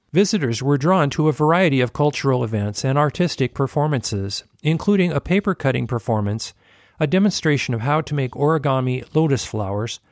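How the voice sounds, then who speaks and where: A man reading scripted news narration in an even, measured way. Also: mid-range voice, dry recording, studio quality.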